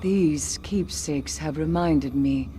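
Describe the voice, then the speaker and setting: Haunted female survivor: grave, low-voiced, unhurried game character, mournful and formal, ambient noise behind. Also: mellow. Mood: mournful, reflective. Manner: solemn, deliberate, formal phrasing. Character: solemn grieving female survivor haunted by lost friends. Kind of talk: video game cutscene acting.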